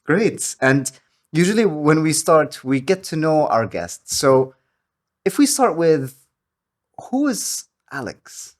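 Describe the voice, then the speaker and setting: A man's voice: on the high side, very animated, slow. A man hosting a podcast, introducing and questioning his guest in a conversational interview. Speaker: podcast host. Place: home studio at a dining table.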